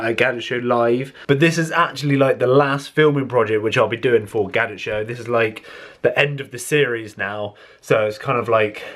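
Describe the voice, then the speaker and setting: A man with a cold, chatting casually and jokingly to camera in a vlog. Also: mid-range voice. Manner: chatty, jokey, rambling. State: congested with a cold. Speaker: vlogger.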